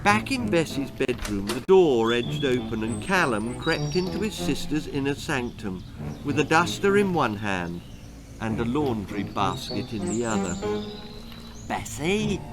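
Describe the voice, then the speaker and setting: A man's voice: mid-range voice, animated, unhurried, over music. A man narrating a children's story in a dramatic, scripted storytelling style. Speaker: author reading his own story.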